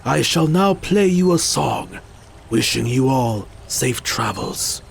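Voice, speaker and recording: A man's voice: medium pitch, expressive, slow, creaky, a little hiss or hum, full character voice. A man playing a loyal, solemn village musician who speaks formally and earnestly in an audio drama. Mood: gently confident.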